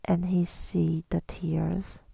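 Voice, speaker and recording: A woman speaking in a soft, breathy whisper in an ASMR style, reflective and hesitant, pausing often, close to the microphone. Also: fairly low pitch, flat delivery, no room sound. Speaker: ASMR creator. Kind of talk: ASMR, rambling personal reflection.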